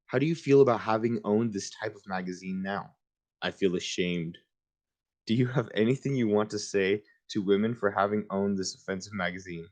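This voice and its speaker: A man's voice: fairly low pitch, moderate pace, darkish tone. A man reading a children's book aloud in an informal way, with casual asides of his own. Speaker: reader hosting a book livestream.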